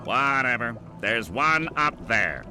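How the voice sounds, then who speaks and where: An actor playing a sour, bored, sarcastic octopus with a nasal voice and dry manner in an animated video game. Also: male voice, medium pitch, slow, background noise.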